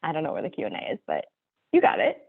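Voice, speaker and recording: A woman hosts an online panel, talking in a chatty, loose way and steering the conversation. Her voice sits a little low and comes through a tinny, phone-like video call line. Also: wildly swooping pitch, gravelly. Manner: chatty, loose, with fillers and quick apologies. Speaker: panel moderator.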